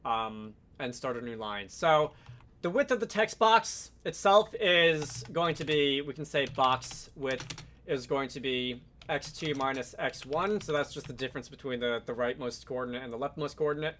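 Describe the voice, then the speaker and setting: A man presenting a software tutorial in a conversational, explanatory way, with casual asides. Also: medium pitch, normal speed, a little hiss or hum. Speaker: tutorial host, game programming instructor.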